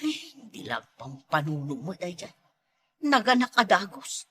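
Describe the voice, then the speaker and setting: A woman's voice: fairly low pitch, sing-song, unhurried, bright, forceful tone, a little hiss or hum, heavily acted. A woman with an Ilocano accent playing an irritable, sorrowful wife who longs for a child, in a comedy drama. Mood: sullen, hurt.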